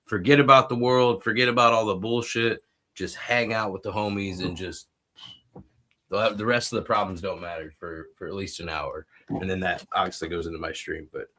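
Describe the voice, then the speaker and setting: A man's voice: sits low, fairly even intonation, moderate pace, bright, forceful tone. A man chatting casually as a podcast co-host and livestreamer. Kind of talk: casual chat.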